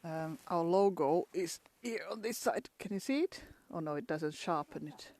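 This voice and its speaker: A woman's voice: lowish voice, animated, moderate pace, a little mellow. A woman from Finland with a Finnish accent, chatting casually outdoors in a pine forest for a travel vlog and laughing often between restarts. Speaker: travel vlogger.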